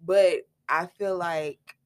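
Young woman, podcast guest, easygoing, conciliatory, lowish voice. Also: fairly even intonation, extremely slow, a little mellow, smooth. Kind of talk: casual group discussion.

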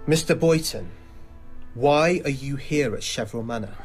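An actor playing a coolly insistent, sceptical police detective questioning a suspect in a radio drama. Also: male voice, medium pitch, moderate pace, faint music behind, natural voice. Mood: cool, pressing.

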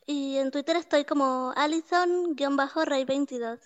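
A woman's voice: high voice, moderate pace, in a large hall. A woman from Nicaragua introducing herself on a podcast in a bubbly way. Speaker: podcast contributor.

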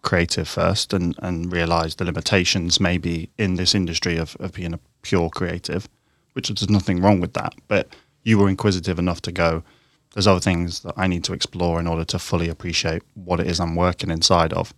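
A man hosting a podcast, asking long, thoughtful questions in a measured, meandering way. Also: low-pitched, flat delivery, dry recording. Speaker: podcast host. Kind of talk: podcast interview.

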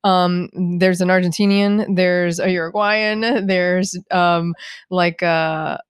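Chatty woman writer, quick asides. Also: on the low side, steady tone, smooth, no echo, studio quality. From United States. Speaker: guest, writer and company co-founder. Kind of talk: casual podcast interview.

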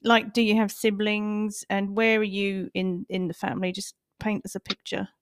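A woman's voice: mid-range voice, flat delivery, normal speed, smooth, no room sound, studio quality. A woman co-hosting a podcast, friendly and curious, asking the guest questions. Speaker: podcast co-host. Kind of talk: casual chat.

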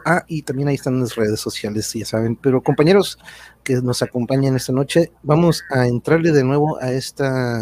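A man's voice: medium pitch, a little mellow, a little hiss or hum. A man hosting a casual livestream chat in a rambling way, often chuckling. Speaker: host.

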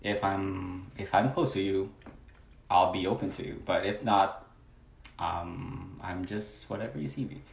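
A man's voice: low-pitched, a little slow, vocal fry, reverberant. A man from the Philippines with a Filipino accent, speaking hesitantly with many fillers as an interview guest. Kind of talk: casual personal conversation.

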